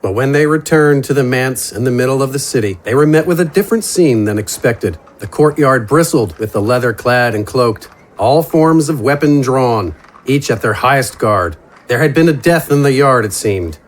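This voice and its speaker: A man's voice: medium pitch, lively intonation, moderate pace. A man reading scripted fantasy narration in a dramatic storytelling style.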